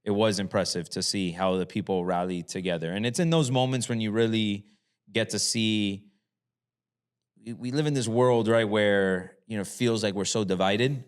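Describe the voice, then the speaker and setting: A man's voice: fairly low pitch, normal speed, clear, resonant tone. A man hosting a casual podcast interview, mixing Spanish words into his English, affirming and reflective in tone. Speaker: podcast host.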